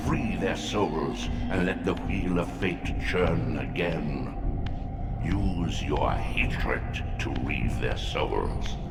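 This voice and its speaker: Cavernous, gravelly ancient underworld god. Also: male voice, full character voice. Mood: solemn, commanding. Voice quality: deep, gravelly. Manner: grave, imperious, archaic theatrical delivery. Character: ancient, commanding underworld god speaking to its servant. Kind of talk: solemn video game voice acting. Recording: reverberant voice under loud game music.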